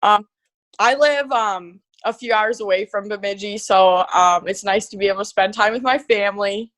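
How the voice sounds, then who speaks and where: A woman's voice: mid-range voice, normal speed, fairly bright tone, dry recording. A woman of about twenty with an American accent, chatting casually as a college athlete guest. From Minnesota, United States. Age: around 20. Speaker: guest, college tennis player. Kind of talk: casual chat.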